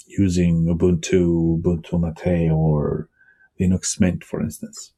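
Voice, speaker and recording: A man whose first language is Bosnian, rambling casually with many pauses as he talks through his computer setup. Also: lowish voice, warm tone. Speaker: vlogger. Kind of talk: casual screen-recorded desktop talk.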